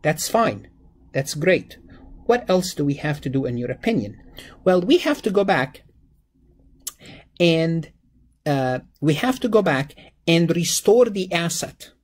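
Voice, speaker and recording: Husky, higher-pitched man teaching step by step. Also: a little mellow. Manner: repeats phrases, poses questions and answers them himself. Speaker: accounting lecturer. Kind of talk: step-by-step exam problem walkthrough, explanatory teaching. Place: indoors, voice-over on a screen-recorded slide lesson.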